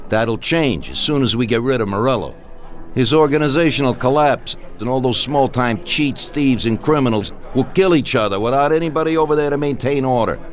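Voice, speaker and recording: A man plays a ruthless mob boss in a crime game. He speaks at a middle pitch, blunt and authoritative, with faint music behind him. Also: lively intonation, brisk, lightly acted. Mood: stern, commanding. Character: commanding, ruthless male mafia boss giving orders. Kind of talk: crime game cutscene acting.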